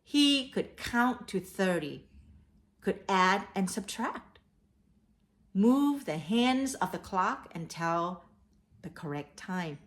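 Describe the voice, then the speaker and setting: A woman hosting a read-aloud for children, telling stories and giving advice in a deliberate way. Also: medium pitch, expressive. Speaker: host of a children's read-aloud storytelling show. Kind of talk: storytelling and advice addressed to children.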